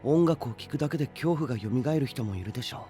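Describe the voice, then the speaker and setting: A man playing a thoughtful, inquisitive young knight in an anime-style voice drama, casual and expressive. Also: mid-range voice, fast talker, faint music behind, natural voice. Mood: concerned.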